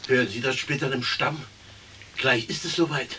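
Actor as a blunt, piercing-voiced woodworker. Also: male voice, mid-range voice, normal speed, pushed and bright, close to an everyday voice. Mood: urgent. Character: blunt, matter-of-fact woodworker. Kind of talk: stereo radio play acting. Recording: distant, hissy old radio recording.

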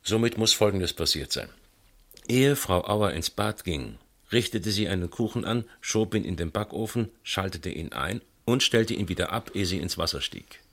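A police inspector with a low, husky voice and very varied intonation. He probes methodically and thinks aloud, in a radio crime play. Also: animated, fairly bright tone, heavily acted. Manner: deliberate, hesitant fillers, reasoning aloud. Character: probing, methodical male police inspector questioning witnesses. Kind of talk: radio crime drama dialogue.

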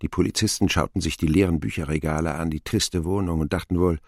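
An actor playing a grown man who recalls his wounded boyhood in a radio play, reflective and dryly ironic, with a gravelly, husky voice. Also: sits low, steady tone, fast, no room sound, clean studio sound. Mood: wry, bitter. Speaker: narrator.

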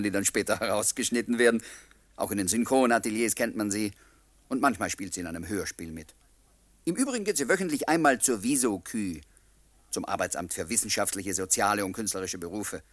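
A man's voice: on the low side, dry recording. An actor playing a genial writer in his late twenties, narrating a radio drama and courteously confiding in his listeners. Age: 20s. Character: chatty, genial 29-year-old writer showing visitors around.

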